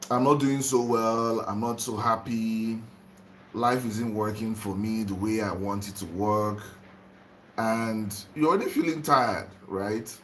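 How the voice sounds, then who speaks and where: A young man from Nigeria who has just woken up, livestreaming about spirituality in a slow, repetitive way and greeting viewers by name. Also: lowish voice, a bit bright, slight background noise, rough recording. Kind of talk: casual livestream talk on spirituality.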